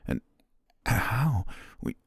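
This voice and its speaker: A man playing a possessive, lovestruck butler in an ASMR romance roleplay, speaking formally in a hushed, breathy voice. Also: very slow, dry recording, clean studio sound. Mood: hurt, bewildered. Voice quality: husky, breathy, near-whisper. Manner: formal, ornate, hushed diction.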